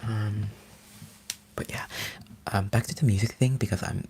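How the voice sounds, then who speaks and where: A man from Finland, a native Finnish speaker, rambling eagerly in a soft whisper as an ASMR live streamer. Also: fairly low pitch, steady tone, a little slow, slight background noise.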